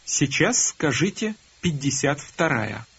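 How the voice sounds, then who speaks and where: A man narrating a recorded language course, calmly prompting learners to repeat and answer. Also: on the high side, slow, a little hiss or hum. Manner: calm, prompting, instructive. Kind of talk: scripted instruction prompting learners. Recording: dull lo-fi course audio.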